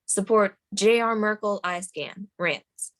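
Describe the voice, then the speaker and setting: Female table-read narrator, medium pitch, delivering scene headings and action lines from a script. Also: slow pace, fairly bright tone. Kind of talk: reading screenplay stage directions aloud.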